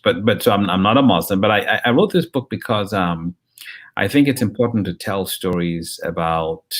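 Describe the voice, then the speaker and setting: A man in his fifties from Nigeria, with a very low voice, answers an interviewer's questions. He speaks in a measured, conversational way. He often pauses, says um and restarts his sentences. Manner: measured, with frequent ums and restarts. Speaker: interview guest, journalist and professor.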